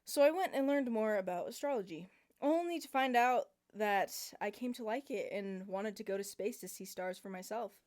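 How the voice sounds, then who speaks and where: A woman's voice: mid-range voice, moderate pace, a little mellow, lightly acted. A woman voicing a brash, flustered teenage boy in a fan fiction reading. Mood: explaining. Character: brash teen boy, would-be astronaut.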